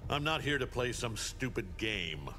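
An actor playing a blunt, contemptuous middle-aged superpowered fighter in a video game. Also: male voice, medium pitch, sing-song, normal speed, music in the background, lightly acted. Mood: impatient contempt. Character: gruff, impatient middle-aged male superpowered bruiser.

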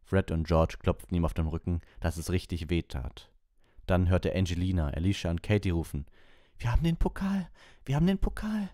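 A man with a very low, dark and mellow voice hosts an audiobook livestream. He sits close to the mic, and the sound is dry with no room around it. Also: no echo. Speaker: audiobook reader and livestream host. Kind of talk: story narration and casual livestream chat.

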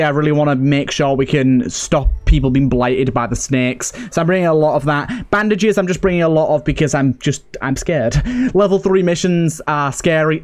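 A man's voice: on the high side, a little mellow. A man giving casual commentary as he plays a video game, as host of a let's play.

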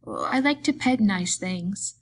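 A woman's voice: medium pitch, lively intonation, measured pace, slight background noise, low-quality recording. A woman voicing a big, simple-minded, childlike man in audiobook dialogue, earnest and pleading, with a rustic ungrammatical drawl.

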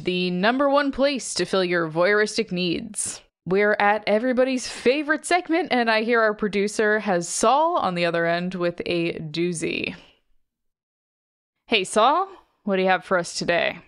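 An actress playing a flippant radio talk show host in an audio drama, glib and halting when rattled. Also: female voice, mid-range voice, animated, clear, resonant tone, close to an everyday voice. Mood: glib, chatty.

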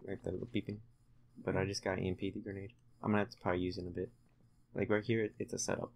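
A young man giving casual, halting, low-key commentary while concentrating on playing a video game. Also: flat delivery, vocal fry, darkish tone. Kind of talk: casual let's-play commentary.

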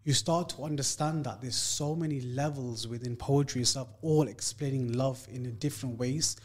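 A man's voice: medium pitch, normal speed. A man from Leicester, England, a guest poet on a radio show, talking about poetry and reciting spoken-word verse in a rhythmic, emphatic way, recorded in a radio studio. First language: not Urdu.

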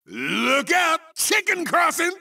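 A man voicing an excitable, boastful chicken sportscaster for a video game, hammy and shouting, with a gravelly voice. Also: very high-pitched, takes it slowly, distant mic, heavily acted. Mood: boastful, excited. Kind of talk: exaggerated video game character barks.

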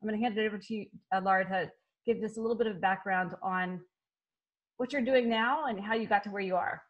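A woman's voice: mid-range voice, steady tone, fast, highs cut off. A woman hosting a video call interview, introducing her guest and asking short prompting questions. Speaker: host. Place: home office.

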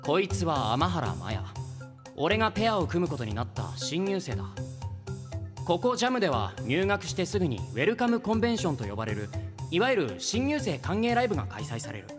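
A man's voice: on the high side, huge pitch swings, fairly quick. A man playing an earnest, exasperated teenage music student in a radio comedy drama, narrating and making emphatic retorts.

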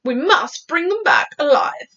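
A woman plays a principled storybook queen. She is commanding and measured, with a high, authoritative voice. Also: very animated, pushed and bright, dull audio, full character voice. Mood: firm.

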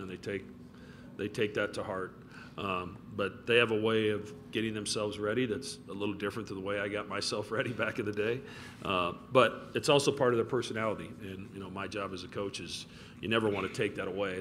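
A man in his fifties, a college basketball head coach, answering press questions in a relaxed, rambling way with many fillers. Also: lowish voice. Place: press conference room with backdrop. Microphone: table mic. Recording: noticeable room noise.

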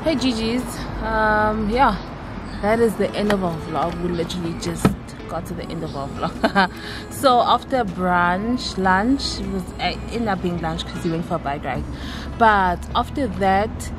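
A woman's voice: medium pitch, a little slow. South African woman, 30s, playful vlogger, handheld camera, music bed. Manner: chatty, laughing, playful teasing. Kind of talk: casual vlog chat. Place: outdoor cafe terrace and inside a car. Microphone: camera held at arm's length.

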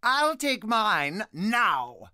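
A theatrical villain lord from a children's cartoon game. He is pompous and scheming, stretching words out slowly in a piercing, forceful tone with huge swoops. The sound is dry and close. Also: mid-range voice, sing-song, no echo, full character voice. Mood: sulky, threatening. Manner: pompous, grandly menacing.

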